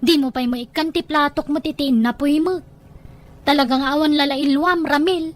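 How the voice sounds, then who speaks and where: Woman playing a high-pitched, whiny younger woman. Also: steady tone, normal speed, close to an everyday voice. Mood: whiny, sulky. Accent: Ilocano Filipino. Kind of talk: homespun audio drama acting.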